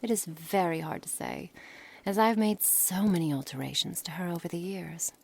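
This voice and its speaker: A woman playing a coolly menacing, scholarly witch in an ASMR roleplay, speaking softly in formal, measured words with breathy, hushed passages. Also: fairly low pitch, a little hiss or hum. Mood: clinical, musing. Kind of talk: ASMR roleplay dramatic monologue.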